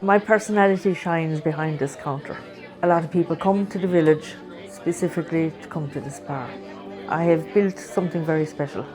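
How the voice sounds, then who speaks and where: An Irish-accented woman who runs a bar, speaking plainly and deliberately in a documentary interview. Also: low-pitched, over music, crowd noise, poor audio. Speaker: whiskey bar owner.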